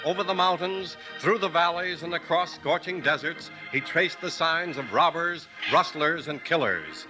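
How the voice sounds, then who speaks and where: Dramatic 1950s radio announcer over music. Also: male voice, on the high side, normal speed, hardly any pauses, rough recording. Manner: dramatic, declamatory.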